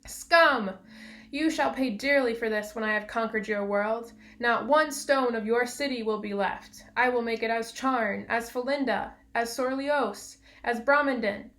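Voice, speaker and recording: An imperious storybook witch, put on by a woman reader, clear and ringing. She sounds scornful and menacing, proclaiming her threats like a conqueror, a little raised in pitch. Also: a little slow, a bit bright. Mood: exultant menace.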